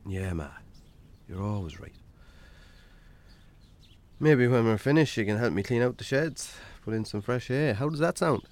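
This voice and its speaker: An actor with an Irish accent playing a stubborn, wry farmer in a radio drama, dry and offhand. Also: male voice, medium pitch, lively intonation, normal speed, long pauses, darkish tone, slight background noise, close to an everyday voice. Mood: caring, dry. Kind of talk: naturalistic radio drama acting.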